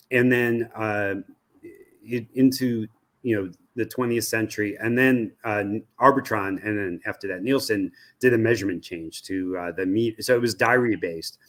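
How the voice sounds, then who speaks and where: Older American male, lowish pitch. Expert interview guest, analytical, halting and repetitive phrasing. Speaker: guest, media research executive. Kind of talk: interview answers, analytical explanation.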